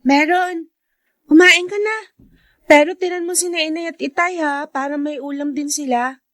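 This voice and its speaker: A dutiful schoolgirl in a radio play. Her voice is high and sits dry and close, caring and respectful. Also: fairly even intonation, moderate pace, a bit bright, dry recording. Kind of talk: radio drama acting.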